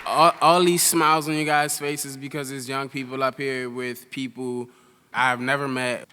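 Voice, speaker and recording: A man's voice: mid-range voice, little pitch movement, moderate pace, sharp tone, faint music behind, some chatter behind. A young man giving a heartfelt speech as a student speaker in a large hall. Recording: echoey hall with long reverb.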